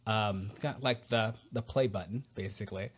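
A man hosting a podcast, chatty and quick to laugh, on a headset mic in a small room over a video call. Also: on the low side, slight background noise. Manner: chatty, laughs easily, filler words. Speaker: podcast host. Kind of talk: casual interview chat.

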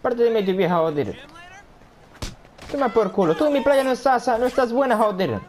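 A man's voice: extremely high pitch, animated, normal speed, darkish tone, slight background noise. A man putting on the voice of a crude, boastful, foul-mouthed rich foreigner with broken grammar, commentating a game in character. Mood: rude. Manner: swears constantly, broken grammar, brash and rude.

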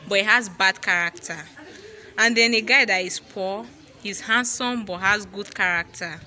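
A woman's voice: mid-range voice, normal speed, sharp tone, soft background music. A woman asking casual vox pop questions outdoors as a street interviewer. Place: open-air school campus. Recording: outdoor campus, busy crowd chatter. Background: crowd chatter.

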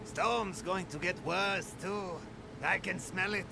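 An actor playing a drunk, weathered old desert guide in a video game, gravelly and rambling, speaking theatrically. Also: male voice, high voice, takes it slowly, noisy background, exaggerated voice. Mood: ominous, genial. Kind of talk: video game character acting. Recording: game music over the voice.

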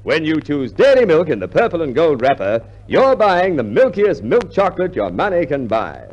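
A man's voice: on the high side, wildly swooping pitch, moderate pace, highs cut off. A man reading an emphatic 1950s radio commercial as an announcer.

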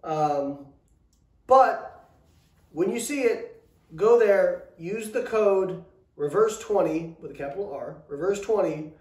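A man's voice: sits high, expressive, slow, pauses often, darkish tone. A man in his thirties co-hosting a casual chat on a living room sofa, sarcastic and swearing as he rants. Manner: profane, ranting, sarcastic. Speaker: co-host, coaching business owner. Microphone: far camera. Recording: echoey room from far off.

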